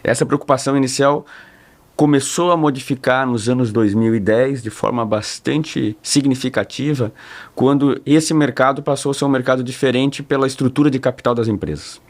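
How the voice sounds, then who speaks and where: A man from Portugal answering interview questions as a guest, speaking in a measured, formal way. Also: mid-range voice. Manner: measured, formal, careful wording. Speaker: guest lawyer and professor.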